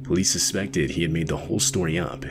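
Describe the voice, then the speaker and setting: A man's voice: sits low, fairly even intonation, quick pace, noisy background. A man delivering scripted narration as a true-crime video narrator.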